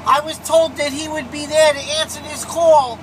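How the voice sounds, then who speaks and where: Man putting on a high whiny oddball prankster. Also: steady tone, moderate pace. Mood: plaintive, silly. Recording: noisy CB radio in a vehicle.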